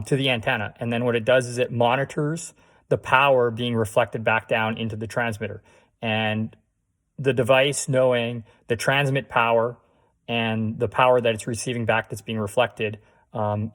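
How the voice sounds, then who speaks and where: A man hosting a casual do-it-yourself tutorial, explaining things step by step in a halting way. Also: mid-range voice. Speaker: host of a DIY radio tutorial. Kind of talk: casual how-to demonstration.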